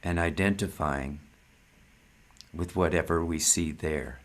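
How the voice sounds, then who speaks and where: A man giving a meditative spiritual talk as a satsang teacher, speaking in measured, deliberate short phrases with long pauses. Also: extremely low pitch.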